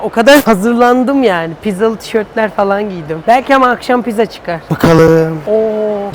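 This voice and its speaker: A man's voice: high voice, slight background noise. Playfully grumbling young man vlogging. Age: young adult. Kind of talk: casual chat to camera.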